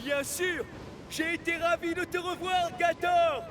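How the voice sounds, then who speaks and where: Tiny friendly machine for kids cartoon, curious, pitched way up. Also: male voice, steady tone, unhurried. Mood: pleased.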